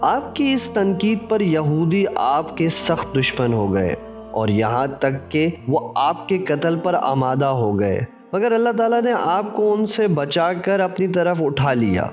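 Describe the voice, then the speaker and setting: A man's voice: a little high, sing-song, slow pace. A man reading a religious novel as scripted narration, with a formal literary delivery. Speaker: audiobook narrator. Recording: dull lo-fi recording with music behind. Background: background music.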